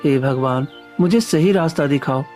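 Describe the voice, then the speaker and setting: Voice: mid-range voice, normal speed, a little mellow, soft background music, natural voice. A performer playing an honest, kind-hearted young village man in a Hindi moral story, speaking earnestly and devoutly.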